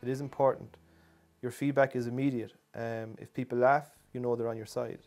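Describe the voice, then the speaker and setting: A man's voice: mid-range voice, flat delivery, a little fast, darkish tone, soft background music. An Irish man in his thirties, a stage comedian, explaining his craft in an interview. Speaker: pantomime comedian. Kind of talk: interview talk. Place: living room, seated in armchair.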